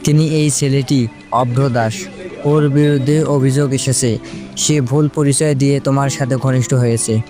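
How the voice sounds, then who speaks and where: A stern office manager, played by a man, with a mid-pitched voice and a formal, official manner. It is audio drama dialogue with music clearly playing behind. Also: fairly even intonation, normal speed, close to an everyday voice.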